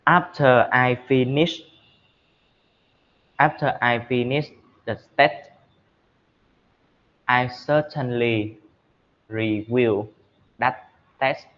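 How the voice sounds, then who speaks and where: A Vietnamese-accented man practices spoken English at a slow pace. He halts often and repeats phrases as he searches for the next word. His medium-pitched voice comes through a somewhat muffled, lo-fi recording. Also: steady tone. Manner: halting, repeats phrases while searching for words. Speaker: English learner. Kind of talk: speaking practice in an English lesson.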